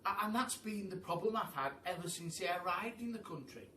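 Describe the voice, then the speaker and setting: A man voicing a timid, polite female giraffe in a storybook, halting and apologetic. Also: sits high, lively intonation, sharp tone, roomy sound, distant mic, a little hiss or hum, voice pushed up.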